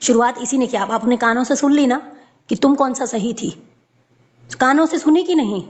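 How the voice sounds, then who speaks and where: Insistent high-voiced woman on a call. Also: moderate pace. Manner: insistent, often repeats herself to take the floor. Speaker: caller on a three-way personal phone call. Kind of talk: heated personal phone conversation. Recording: recorded phone call.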